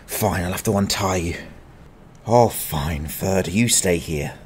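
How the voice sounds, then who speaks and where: A man voicing a gruff, sardonic, down-at-heel adventurer in a fantasy audiobook, grumbling in exasperation. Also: low-pitched, slow, a little mellow, exaggerated voice. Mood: weary muttering. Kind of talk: dramatized fantasy audiobook acting.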